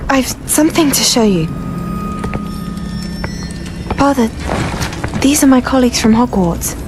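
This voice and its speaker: A woman's voice: sits high, animated, measured pace, music in the background. An actress playing a determined, solemn witch and scholar in a video game. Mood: solemn resolve.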